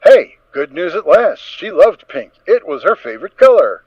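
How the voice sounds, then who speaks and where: A man narrating a scripted story dramatically, with measured, emphatic phrasing. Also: high-pitched, very animated, no room sound. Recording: dull, dry lo-fi recording.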